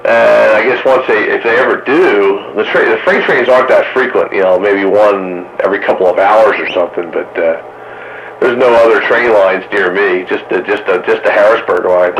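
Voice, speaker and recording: An American man chatting casually and haltingly over two-way radio, thinking aloud as he goes. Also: lowish voice, a bit bright. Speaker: radio hobbyist in a group chat. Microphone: two-way radio, recorded from a receiver stream. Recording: lo-fi CB radio with static.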